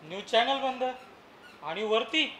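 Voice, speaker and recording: A man's voice: extremely high pitch, expressive, unhurried, fairly bright tone, heavily acted. A man acting a joking, hapless husband and father on stage, speaking emphatically and mixing in English phrases. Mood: joking dismay. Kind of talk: theatrical comic stage acting. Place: theatre stage in an auditorium. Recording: echoey auditorium with faint noise.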